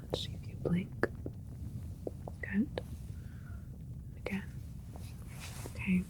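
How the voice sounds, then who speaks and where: A woman playing a calm, clinical alien scientist in a sci-fi ASMR roleplay, speaking in a soft, breathy whisper with a gentle, methodical manner. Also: medium pitch, slow, lightly acted, rough recording. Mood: attentive, clinical. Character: calm, clinical alien scientist doctor examining their creation.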